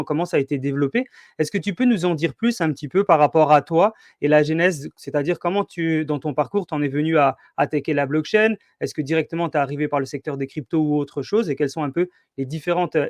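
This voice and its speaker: A man's voice: fairly high pitch, no room sound, studio quality. A man hosting an interview podcast in French, feeling his way through his phrasing. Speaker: podcast host.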